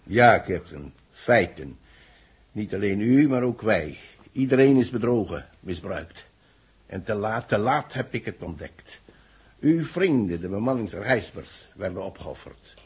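A betrayed, serious engineer in a vintage radio play. The voice is low and very rough, swinging dramatically from muttered gravity to emphatic declaration. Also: male voice, animated, unhurried, exaggerated voice. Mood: bitter, grave. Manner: emphatic, dramatic, repeating words. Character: grave, betrayed male engineer, a society chairman. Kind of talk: 1960s Dutch radio drama acting.

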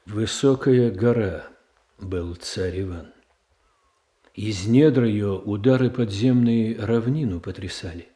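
An actor playing a stern, ambitious statesman in a classical verse radio drama, speaking in a deliberate, declamatory manner. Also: on the low side, mellow. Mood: grave, brooding. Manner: deliberate, weighty, declamatory delivery. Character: stern, ambitious, calculating statesman brother of the Tsarina. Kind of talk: classical verse tragedy in a Russian radio play.

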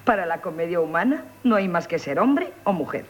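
An actress with a Castilian accent playing a bold, scheming actress who poses as a haughty countess in a theatrical radio comedy. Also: female voice, fairly low pitch, expressive, brisk, muffled recording. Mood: persuasive, urging. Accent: Castilian Spanish. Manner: theatrical, persuasive, swooping delivery. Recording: 1970s radio recording with noise.

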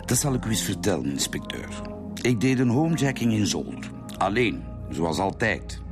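An actor playing a cold, menacing Flemish armed robber in a radio drama, matter-of-fact about violence. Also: male voice, fairly low pitch, very animated, moderate pace. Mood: matter-of-fact confession. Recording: music behind the voice.

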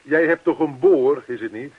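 An actor playing a jovial, pushy middle-aged neighbour in a radio play, hearty, chummy and insistent. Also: male voice, mid-range voice, normal speed, breathy edge, natural voice. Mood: chatty, coaxing. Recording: dull lo-fi radio play recording.

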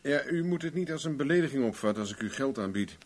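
An actor playing a formal, sardonic, businesslike private investigator in a Dutch radio play. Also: male voice, mid-range voice, animated, quick pace, natural voice. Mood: dry, persuasive. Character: dry-witted, businesslike male private investigator.

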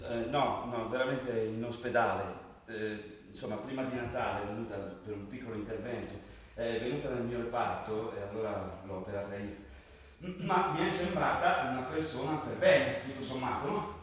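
A man's voice: medium pitch, normal speed, natural voice. Actor as hesitant anxious father, distant and echoey. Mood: uneasy. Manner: hesitant, stammering, repeats himself. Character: anxious newcomer father, a surgeon, hesitant and defensive. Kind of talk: theatrical stage acting, naturalistic dialogue. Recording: distant, very echoey stage recording, noisy.

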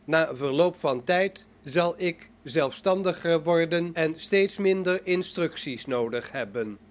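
A polite humanoid robot played by a man in a science fiction radio play. The voice is fairly high for a male and takes its time, with formal, deliberate phrasing and an inquisitive temper.

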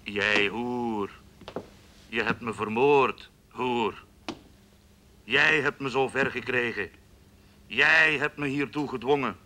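A vengeful husband on a cassette recording in a radio play. His voice is forceful and very slow, full of bitter threat, over faint noise. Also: mid-range voice. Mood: bitter, threatening. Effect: played back from a cassette recorder. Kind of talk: radio drama acting.

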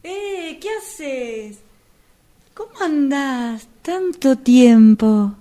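An Argentine woman acting in a radio drama as a self-possessed woman, speaking in a deliberate conversational way. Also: high voice, lively intonation, warm tone, exaggerated voice. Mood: friendly, nostalgic. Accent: Argentine, Rioplatense.

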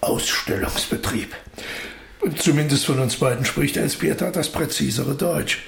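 Dry sardonic writer in a radio play, lots of gravel and creak, teasing. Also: male voice, medium pitch, a little slow, fairly bright tone. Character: Klaassen, sardonic older rebellious writer, Elzbieta's husband. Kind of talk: radio drama dialogue.

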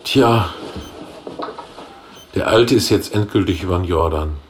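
An actor playing a blunt older factory boss in a satirical radio play, colloquial and dryly wry. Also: male voice, sits low, a little slow. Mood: reflective, blunt.

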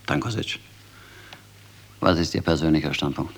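Very low, brusque, scornful colonel. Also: male voice, moderate pace. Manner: brusque, commanding, cynically mocking. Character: ruthless, scornful intelligence colonel, fanatical conspirator. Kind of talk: German radio drama acting.

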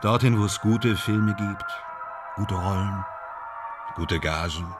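Boastful stage actor, low theatrical voice. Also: male voice, slow pace, over music. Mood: dreamy, boastful. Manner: theatrical, self-dramatizing, in short fragments. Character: boastful, ambitious male stage actor dreaming of Hollywood stardom. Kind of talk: German radio drama acting.

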